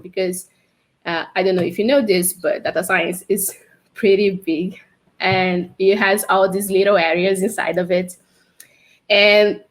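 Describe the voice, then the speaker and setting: A young woman from Brazil with a Brazilian Portuguese accent, chatting casually as a guest on a livestream interview over a video call, laughing often. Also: mid-range voice. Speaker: guest, developer advocate.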